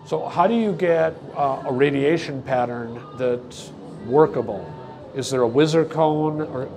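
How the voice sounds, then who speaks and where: Male host, 60s, medium pitch, measured interviewer delivery, music underneath. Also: darkish tone. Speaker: interviewer and magazine content officer. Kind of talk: interview questions and presenting to viewers.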